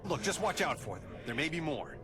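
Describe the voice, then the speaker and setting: An actor playing a brisk, scientific male superhero in a video game, urgent and clipped, giving terse instructions. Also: a little high, very animated. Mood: focused, terse. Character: brisk, scientific, anxious futuristic male superhero, commanding and dry. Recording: loud music behind the voice.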